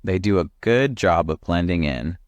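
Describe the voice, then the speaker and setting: A man voicing a calm, helpful animal friend in a storybook, gentle and encouraging. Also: deep voice, moderate pace, dry recording, dull audio. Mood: gently encouraging.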